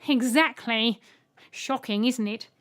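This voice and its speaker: A woman's voice: on the high side, takes it slowly, fairly bright tone. A woman voicing a small male robot egg alien in a storybook, cheerfully oblivious, obliging and matter-of-fact. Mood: friendly, reassuring.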